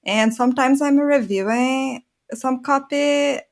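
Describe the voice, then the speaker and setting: A woman's voice: high-pitched, takes it slowly, gravelly. A woman from Brazil with a Brazilian accent, speaking earnestly as a guest on a design podcast and laughing often. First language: Portuguese. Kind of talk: conversational podcast interview.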